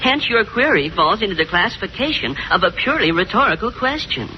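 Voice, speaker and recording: A man's voice: high voice, sing-song, fairly quick. Fussy pedantic man, swooping delivery. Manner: fussy, precise, over-literal. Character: pedantic, literal-minded male school official, fussily pleased with himself. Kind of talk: 1940s radio sitcom acting. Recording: noisy muffled old radio, loud studio audience.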